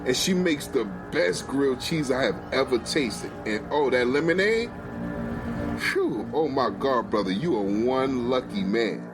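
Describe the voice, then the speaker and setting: A man's voice: mid-range voice, expressive, unhurried, over music, close to an everyday voice. A man voicing a brazen, menacing ex-convict with a slangy, insinuating, taunting way of talking. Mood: leering, effusive. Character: brazen, menacing ex-convict flirting to provoke a husband.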